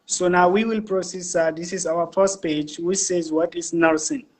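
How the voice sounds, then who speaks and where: Man, high-pitched voice, online discussion host, deferential manner, short affirmations. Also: fairly even intonation, moderate pace. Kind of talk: hosting a live online discussion.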